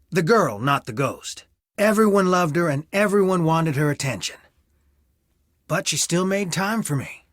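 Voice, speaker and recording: An actor playing a weary, guarded young fiancé with a wry, tired manner in a scripted video game. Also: male voice, fairly high pitch, fairly bright tone, no echo, studio quality, exaggerated voice. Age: young adult.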